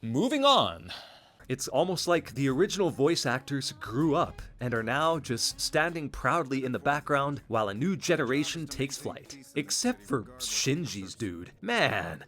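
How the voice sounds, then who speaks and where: A man's voice: a little high, a bit bright. A man narrating a scripted video essay as a channel host, enthusiastic and given to conversational asides.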